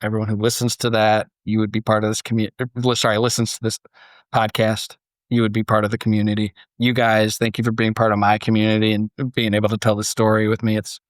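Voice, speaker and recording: A man's voice: lowish voice, monotone, quick pace, dry recording. A man hosting a podcast, moving between conversation and scripted story narration. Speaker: podcast host.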